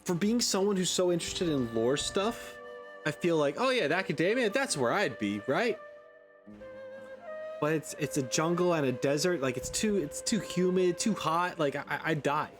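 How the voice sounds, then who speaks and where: A man's voice: a little high, animated, normal speed. Casual male game streamer with music behind him. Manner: informal, rambling, thinks aloud, mild swearing. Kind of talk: casual let's play commentary, reading in-game text aloud.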